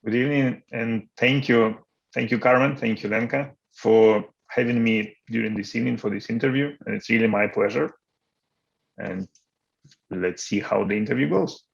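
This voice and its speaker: A man's voice: lowish voice, moderate pace, vocal fry, dull audio. A man in his forties with a Ukrainian accent, answering interview questions in an earnest way and often repeating words as he looks for the next one. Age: 40s. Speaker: interviewee, coach. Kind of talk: interview over video call.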